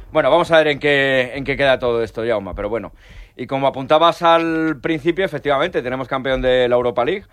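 Male sports reporter, even mid pitch, dry studio sound, calm with hesitations. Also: no room sound. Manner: measured, agreeable, many fillers. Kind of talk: radio sports chat.

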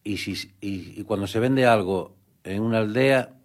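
A man's voice: fairly low pitch, a little slow. A middle-aged man from the Canary Islands, a guest on a radio interview, talking earnestly and hesitantly with many restarts. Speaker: guest, rural real estate agent. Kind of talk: conversational interview.

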